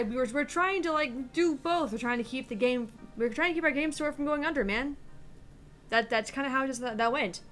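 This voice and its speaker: A woman's voice: high voice, a bit bright. A woman co-hosting a gaming stream, recapping the story in casual banter and swearing freely. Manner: profane, laughing, recaps the story loosely. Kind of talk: casual banter while playing a game.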